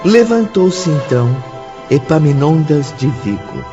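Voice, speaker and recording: A formal radio drama storyteller with a Brazilian accent declaims reverently. The delivery is very measured, with music clearly behind and a dulled sound. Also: male voice, a little high, sing-song. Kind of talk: scripted radio drama narration. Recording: slightly dull recording.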